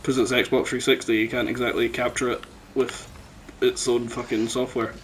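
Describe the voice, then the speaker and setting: A man's voice: medium pitch, flat delivery, moderate pace, slight background noise. A man chatting casually as a podcast host on a headset, telling jokey, sweary anecdotes. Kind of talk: casual chat. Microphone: headset microphone.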